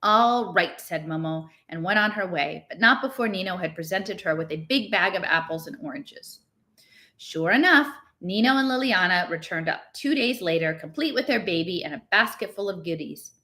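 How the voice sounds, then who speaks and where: Bright-voiced woman reading a story. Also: lowish voice, lively intonation, moderate pace. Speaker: reader of a novel aloud. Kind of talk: expressive scripted storybook narration.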